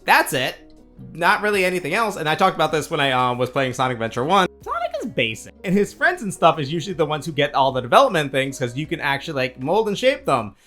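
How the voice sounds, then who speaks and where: A man giving a casual, rambling movie review in an animated, casually sweary way, as an online reviewer. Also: fairly high pitch, fast, faint music behind. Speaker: YouTube game and film reviewer.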